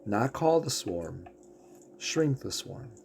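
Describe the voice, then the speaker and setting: A man casually explaining a game character's abilities, throat rough as he is losing his voice. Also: lowish voice, sing-song, slow, noisy background. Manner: explanatory, walks through ability text. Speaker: game streamer.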